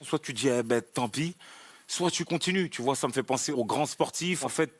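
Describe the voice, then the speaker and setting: A man's voice: mid-range voice, normal speed. Enthusiastic male talent show coach. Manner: animated, talks directly to the contestant. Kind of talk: enthusiastic casual praise. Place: TV studio stage.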